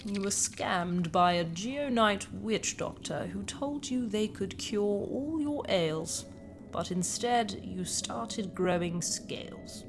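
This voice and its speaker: A woman's voice: mid-range voice, lively intonation, takes it slowly. A woman playing a towering, stern alien healer in an audio drama, sardonic and bitter, with an imperious, blunt delivery. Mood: dry, sardonic. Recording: music behind the voice.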